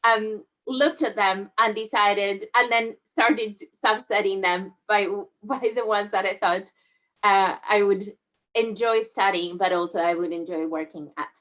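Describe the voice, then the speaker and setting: A middle-aged woman from southern Spain giving a friendly, measured career talk over slides in an online session, with frequent fillers. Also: mid-range voice, fairly even intonation, a bit bright, rough recording. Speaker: guest speaker. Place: online video call.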